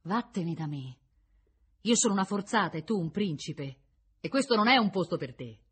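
A woman's voice: lowish voice, lively intonation, brisk, bright, forceful tone, slightly rough, pitched above the performer's own voice, full character voice. A woman voicing a bitter, drunk woman prisoner in a storybook reading, scornful and confrontational. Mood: furious.

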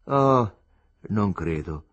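A man's voice: on the low side, expressive, drawn-out words. A man voicing a reserved, distracted young working man in an audiobook, plain-spoken and a little melancholy. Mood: indifferent. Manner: absent-minded, plain-spoken.

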